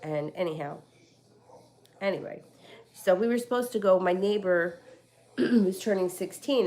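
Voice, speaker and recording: A woman's voice: on the low side, a little mellow. Stuffy-voiced chatty woman reading tarot. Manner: rambling, chatty, with many fillers and restarts. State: stuffy from a cold. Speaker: tarot reader. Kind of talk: casual live-stream tarot reading. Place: home.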